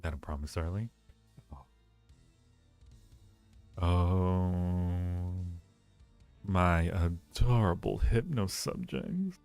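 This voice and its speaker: A man's voice: low-pitched, animated, very slow, long pauses, a little mellow, faint music behind. A man hosting a casual livestream, teasing and rambling playfully as he ranks things and repeats his phrases. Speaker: livestream host. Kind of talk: casual livestream chat and ranking commentary.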